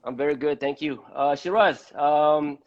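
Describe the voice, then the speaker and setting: Malaysian guy hosting a live stream chat, bit high voice, repeats his words a lot, muffled lofi sound. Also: measured pace. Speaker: host of a live debate. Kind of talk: conversational live-stream interview. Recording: dull, lo-fi live-stream audio.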